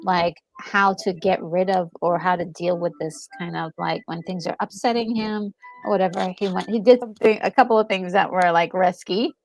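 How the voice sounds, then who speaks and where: A woman gaming co-host talking casually and enthusiastically, thinking aloud in a halting way as she reacts to a game. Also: lowish voice, steady tone, faint music behind, low-quality recording. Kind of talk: casual reaction chat about a video game.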